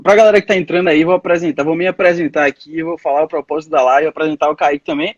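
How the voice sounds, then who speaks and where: A man hosting a live stream, introducing his guest in a quick, upbeat, friendly way. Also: a little high, steady tone, no echo, highs cut off. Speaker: host. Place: home, live video call.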